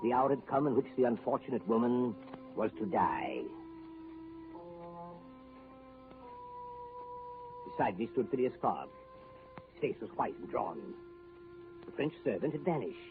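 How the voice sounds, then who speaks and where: An actor playing a solemn Indian guide who narrates an ominous adventure in deliberate, formal 1930s radio style. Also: male voice, medium pitch, lively intonation, natural voice. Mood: tense, ominous. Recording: tinny old radio broadcast, music behind. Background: dramatic music.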